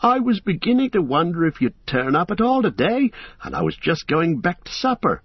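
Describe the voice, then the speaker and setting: A lively young hobbit, as a man puts him on, pitched well above the narrator's voice. He talks in a breezy, teasing way, bouncing through his lines, on a dull, dry recording. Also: normal speed, breathy edge, no room sound, full character voice.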